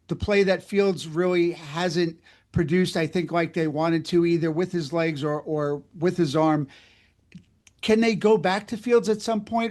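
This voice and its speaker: A man's voice: high-pitched, a little mellow. A man co-hosting a sports radio interview, putting questions to the guest.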